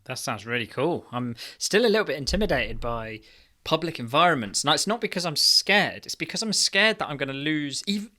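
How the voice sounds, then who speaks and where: A man's voice: fairly high pitch, lively intonation, brisk, bright, forceful tone. A man co-hosting a casual podcast, speaking earnestly and questioning himself as he goes. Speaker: podcast co-host.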